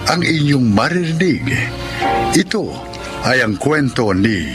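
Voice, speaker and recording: A man with a very animated, swooping delivery announces a drama over music. He is theatrical and takes his words slowly and deliberately. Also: medium pitch. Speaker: radio drama announcer and narrator.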